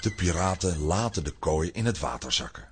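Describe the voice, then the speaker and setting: A man's voice: low-pitched, expressive, normal speed, noisy background. A man narrating a children's radio play in a scripted, dramatic storytelling style.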